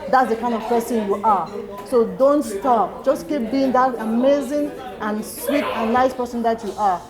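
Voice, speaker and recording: A woman's voice: on the high side, normal speed. A woman giving a heartfelt birthday toast, earnest and laughing between phrases. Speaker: party guest giving a toast. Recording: crowd noise at a party.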